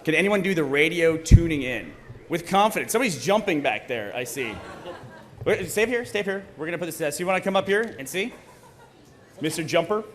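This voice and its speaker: A man's voice: fairly high pitch, lively intonation, fairly quick. A man hosting a live stage event, bantering with the audience in a playful, teasing manner. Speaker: host of a live table read. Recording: live stage PA with clear background noise.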